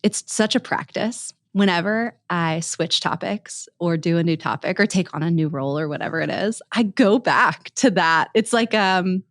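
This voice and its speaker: A woman's voice: on the low side, normal speed, few pauses, no echo, studio quality. A woman hosting a podcast interview, speaking candidly and punching key words for emphasis. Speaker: podcast host. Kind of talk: conversational interview.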